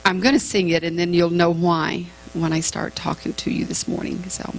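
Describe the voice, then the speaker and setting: A woman's voice: fairly low pitch, little pitch movement, moderate pace, creaky, a little hiss or hum, low-quality recording. A woman in her fifties, a guest speaker at a women's church conference, chatting casually with the congregation in a large sanctuary before she sings. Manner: chatty, self-deprecating, with frequent ums. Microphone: stage microphone at a distance from the camera.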